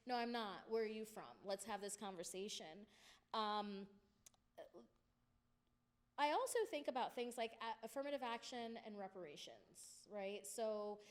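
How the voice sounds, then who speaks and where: A woman's voice: mid-range voice, measured pace. A woman speaking on a college panel, talking thoughtfully with many "you know" fillers. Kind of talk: panel discussion. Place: college lecture hall.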